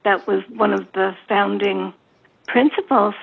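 A woman speaking haltingly and deliberately in a casual discussion, over a thin phone line. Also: mid-range voice, steady tone, vocal fry, slightly rough. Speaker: book group participant.

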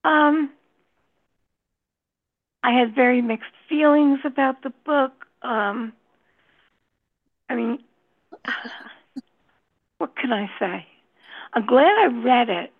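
A woman in a book discussion group speaks slowly and hesitantly, trailing off between thoughts. Her voice is gravelly and a touch husky, and it sits a little high. She comes through a thin, phone-like line. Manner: slow, hesitant, many pauses and fillers. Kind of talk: casual discussion.

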